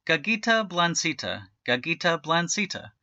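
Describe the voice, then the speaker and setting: Storybook family dog, voiced by a man: friendly, barky, emphatic, pitched up, slightly dull recording. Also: big pitch swings, moderate pace, bright, forceful tone, no room sound, exaggerated voice. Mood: eager, calling out. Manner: barking out bow-wows, emphatic.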